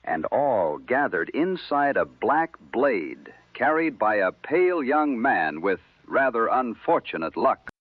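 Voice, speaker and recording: A man playing a wry, musing 1960s radio host with measured, theatrical phrasing on a dull vintage broadcast. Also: mid-range voice, very animated, dry recording. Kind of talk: scripted 1960s radio show banter.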